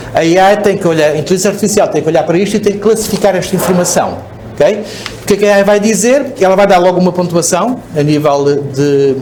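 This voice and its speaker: A man's voice: high voice, a little mellow, slight background noise. A man with a European Portuguese accent giving a conference presentation, explaining step by step and checking in with the audience. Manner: explanatory, frequent "ok?" checks and restarts. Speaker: speaker at a conference. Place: conference hall.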